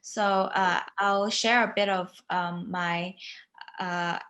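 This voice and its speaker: A woman in her twenties from Hong Kong, giving an informal online presentation as a recent graduate, with frequent hesitant pauses. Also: fairly low pitch, fairly even intonation, pushed and bright. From Hong Kong, born in Singapore. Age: 20s. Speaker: recent graduate sharing student experience.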